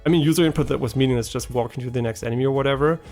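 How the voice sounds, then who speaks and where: A man talks loosely as he plays, wandering between thoughts and tagging "right?" onto his sentences. His voice has a gravelly, fried edge at an ordinary male pitch. Soft game music sits faintly behind him. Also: rapid-fire. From German-speaking, half French. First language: German. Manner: rambling, many ums and restarts, keeps asking "right?". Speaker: gaming streamer. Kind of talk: casual live-stream chat while gaming.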